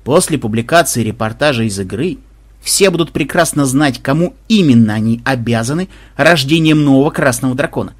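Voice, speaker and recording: A man's voice: mid-range voice, lively intonation, a little fast, natural voice. A man voicing a wry, philosophical male game tester with dry, matter-of-fact remarks.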